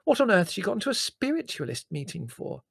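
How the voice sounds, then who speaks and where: A man's voice: sits high, huge pitch swings, a little fast, vocal fry, a bit bright, husky, no echo, clean studio sound, voice pushed up, full character voice. A man voicing a bewildered, easily alarmed mother in a storybook, plaintive and questioning.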